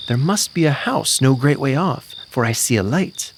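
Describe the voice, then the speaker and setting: Man voicing a lively storybook rooster. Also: mid-range voice, normal speed, a little hiss or hum, close to an everyday voice. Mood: observant. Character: loud farmyard rooster, self-important and put-upon.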